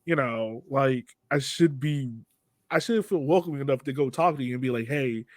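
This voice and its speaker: A man's voice: mid-range voice, moderate pace, dry recording, clean studio sound. A man hosting a podcast in a casual, conversational way. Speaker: podcast host.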